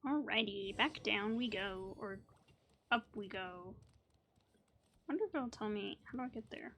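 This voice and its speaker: A woman's voice: mid-range voice, lively intonation, unhurried, bright, forceful tone, slight background noise. A woman gaming streamer giving casual, chatty gameplay commentary with playful asides, game music playing behind her.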